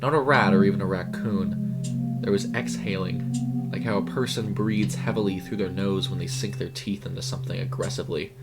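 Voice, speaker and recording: A man reading a scripted horror story in a measured, suspenseful way. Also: deep voice, fairly even intonation. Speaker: audio fiction narrator. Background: music.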